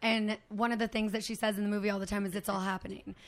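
A young woman hosting a podcast. She chats in a casual, rambling way with lots of filler words. Her voice is a bit hoarse and she sounds a little tipsy. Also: mid-range voice, flat delivery, rapid-fire. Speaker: podcast host. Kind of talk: casual chat.

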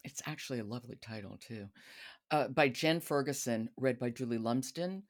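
A woman's voice: a bass voice, moderate pace. A woman hosting a podcast, chatting and asking questions in a conversational book discussion. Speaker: podcast host.

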